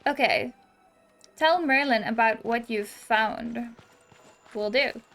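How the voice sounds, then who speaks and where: A woman's voice: a little high, slow, a bit bright, faint music behind. A woman giving casual, chatty let's-play commentary on a game, playful and given to exclaiming. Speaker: gaming video host.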